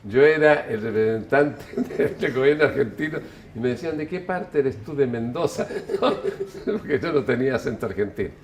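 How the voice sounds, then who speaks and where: An older man from Chile, interviewed, musing on his life in an unhurried, reflective way that often corrects itself. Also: medium pitch, expressive, darkish tone, slight background noise. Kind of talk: relaxed reflective storytelling.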